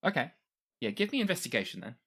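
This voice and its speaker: A game master with a mid-pitched voice and very animated intonation narrates a tabletop adventure. The delivery is conversational and descriptive, improvised as it goes. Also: fast talker, breathy edge.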